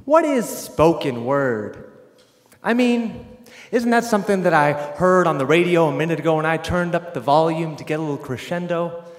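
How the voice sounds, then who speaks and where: A man's voice: on the high side, expressive, moderate pace. A man performing spoken word poetry with a punchy, rhythmic delivery. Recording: echoey hall with long reverb.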